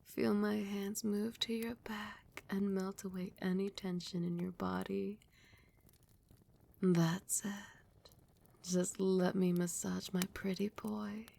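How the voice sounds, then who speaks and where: A woman playing a doting, dominant, seductive vampire queen in a soft, breathy, often whispered ASMR roleplay, with slow, soothing endearments. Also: mid-range voice, little pitch movement.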